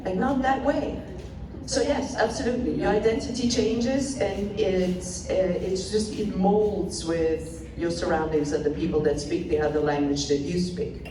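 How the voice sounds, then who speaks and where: A woman, speaking quickly and lightly as a panelist at a live event, often laughing between points. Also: fairly low pitch. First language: German. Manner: lively, quick, laughing asides. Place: event hall with audience. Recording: roomy hall, far off, crowd noise.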